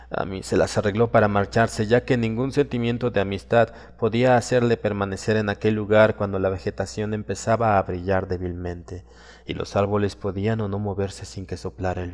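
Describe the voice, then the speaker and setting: A man with a low voice reads a horror story as a dramatic audiobook narrator. His delivery is solemn and suspenseful, with deliberate phrasing. Long reverb hangs on the voice, and music plays behind it.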